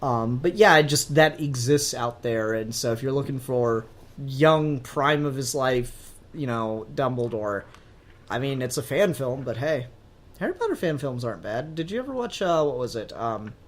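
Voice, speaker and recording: A man's voice: medium pitch, animated, normal speed. A man co-hosting a podcast in casual chat, informal and rambling in the way he talks. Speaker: podcast co-host.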